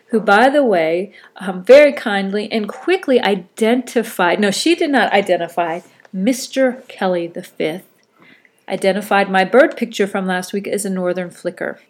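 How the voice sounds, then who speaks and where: A middle-aged woman with a stuffy nose from a cold, hosting a solo podcast in a casual, rambling way and often correcting herself. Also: mid-range voice. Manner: chatty and rambling, with self-corrections. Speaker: podcast host.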